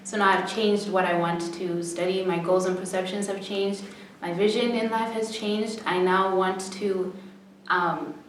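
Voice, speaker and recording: An eighteen-year-old woman, a student volunteer, speaking reflectively with pauses and fillers in a documentary interview. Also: medium pitch, steady tone, a little hiss or hum. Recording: echoey room, distant mic.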